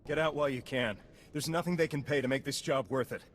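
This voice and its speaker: A man's voice: mid-range voice, fast talker, fairly bright tone, natural voice. An actor playing a disgruntled, nervy male mercenary in a video game. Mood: nervous, disgruntled. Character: disgruntled male hired grunt, nervy, apologetic. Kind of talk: video game cutscene acting. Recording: faint background ambience noise.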